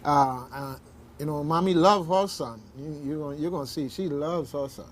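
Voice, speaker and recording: A man's voice: fairly high pitch, normal speed. A man hosting an informal radio discussion, emphatic and often checking in with a questioning "okay". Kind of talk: informal talk show discussion. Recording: faint background noise.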